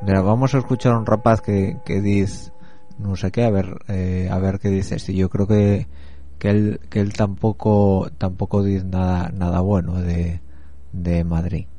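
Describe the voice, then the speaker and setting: A man's voice: deep voice, flat delivery, measured pace, warm tone. A man with an Asturian accent hosting a radio show, rambling in a chatty monologue and talking straight to his listeners. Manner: chatty and rambling, addresses listeners directly, many fillers. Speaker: radio host.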